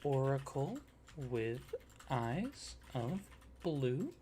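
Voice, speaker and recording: A soft-spoken man doing an ASMR roleplay, playing a polite office clerk who talks the listener through a form. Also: medium pitch, very animated, very slow, a little hiss or hum. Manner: soft-spoken, polite customer-service patter.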